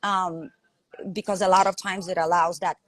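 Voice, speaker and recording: A woman's voice: on the low side, flat delivery, moderate pace, a bit bright, no room sound. A woman with a Lithuanian accent, a coach talking earnestly in a podcast conversation, often asking "right?". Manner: earnest, emphatic, repeats herself and asks "right?".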